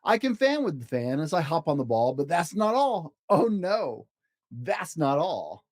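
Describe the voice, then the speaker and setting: A man's voice: a little high, sing-song, a little slow, darkish tone, no room sound, clean studio sound. A man voicing a playful, boastful trickster cat in a children's storybook, showy and breezy in sing-song rhyme. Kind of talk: children's storybook read-aloud.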